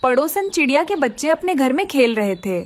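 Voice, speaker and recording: A woman's voice: sits high, big pitch swings, brisk. A woman narrating a scripted children's story.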